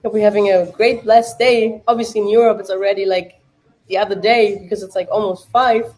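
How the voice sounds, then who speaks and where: A young woman whose native tongue is German talks in relaxed, rambling chat as she hosts a live watch party. Her English carries a clear German accent and she leans on fillers as she answers her viewers. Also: mid-range voice, a little fast, poor audio. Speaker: livestream watch-party host. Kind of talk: casual livestream chat.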